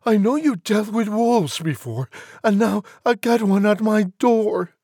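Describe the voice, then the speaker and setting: A man voicing a small, clever, frightened storybook pig with an excitable squeal. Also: high-pitched, a little slow, no room sound, studio quality, pitched above the performer's own voice, exaggerated voice. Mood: panicked. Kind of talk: animated storybook character acting.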